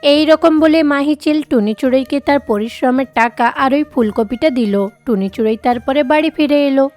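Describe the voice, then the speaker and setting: A woman narrator with a high voice tells a children's cartoon tale from a script. Music plays softly behind the storytelling. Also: brisk.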